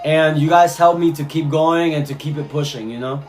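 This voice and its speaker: A man's voice: a little high, fairly quick, soft background music. A man from Cuba talking casually on a live stream, reading chat messages and answering viewers, often repeating his phrases. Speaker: livestreamer.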